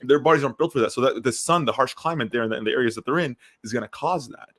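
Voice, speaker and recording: A man who grew up in Syria, co-hosting a podcast and talking quickly in a loose, conversational way with frequent restarts and fillers. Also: medium pitch. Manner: fast, animated, lots of restarts and fillers. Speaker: podcast co-host. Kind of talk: casual conversational podcast chat.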